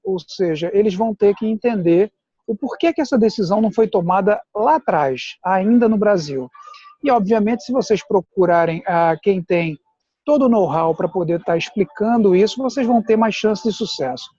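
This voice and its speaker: High-voiced man explaining over a dull call. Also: dark tone, husky, dry recording. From Pernambuco, Brazil. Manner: measured, explanatory, deliberate. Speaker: guest, immigration adviser. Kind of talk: explanatory chat. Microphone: video call. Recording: dull lo-fi video call audio.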